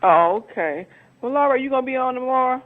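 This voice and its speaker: A man calling in by phone to a live stream, chatting with warm teasing and drawn-out signoffs. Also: very high-pitched, lively intonation. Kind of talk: casual chat. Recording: tinny phone line.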